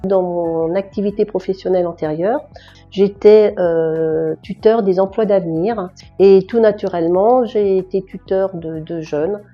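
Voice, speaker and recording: Woman around 70 talking in an interview, bit muffled, soft music under her. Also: medium pitch, unhurried. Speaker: retired volunteer mentor. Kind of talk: interview testimonial. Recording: slightly dull sound, music behind.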